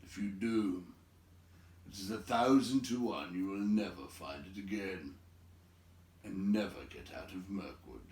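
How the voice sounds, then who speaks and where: A man voicing a brisk, bossy old wizard from a storybook, with an emphatic, scolding and teasing manner. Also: low-pitched, darkish tone, roomy sound, recorded from a distance. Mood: stern farewell.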